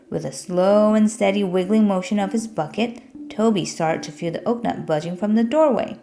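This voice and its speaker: A woman's voice: medium pitch, dark tone. A woman narrating a children's storybook as a scripted read-aloud.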